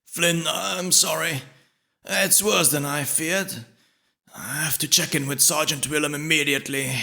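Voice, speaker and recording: Guy doing a worried kindly monk healer, higher voice, urgent and apologetic, audio drama with music behind. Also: creaky, pushed and bright, full character voice. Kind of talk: audio drama character acting. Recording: music bed under the voice.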